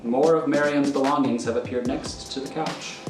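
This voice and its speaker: A man's voice: medium pitch, fairly even intonation, moderate pace. A man narrating screenplay directions in a matter-of-fact, descriptive way. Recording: echoey, prominent music underneath.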